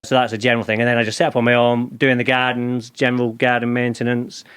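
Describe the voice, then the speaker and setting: A man's voice: mid-range voice, little pitch movement, fast talker, a bit bright, smooth. A man in his forties with a Lancashire accent, a podcast guest telling candid, rambling stories about his working life. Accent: Lancashire English. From England. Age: 40s. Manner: rambling, candid storytelling with restarts. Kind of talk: casual interview chat.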